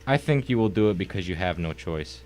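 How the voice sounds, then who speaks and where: A man's voice: low voice, animated, brisk, a little mellow. A man playing a smug, wealthy, cultured villain in an audio drama, speaking in a pompous, formal, mocking way. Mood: condescending. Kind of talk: dramatic audio story acting.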